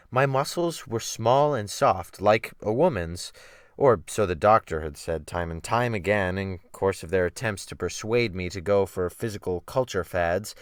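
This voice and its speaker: Man playing a fussy, bookish gentleman scholar narrator. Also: fairly low pitch, dry recording, clean studio sound. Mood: self-pitying. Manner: fussy, literary, emphatic phrasing. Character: refined, bookish, soft-living male scholar, indignant and self-pitying. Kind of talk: dramatic first-person audiobook reading.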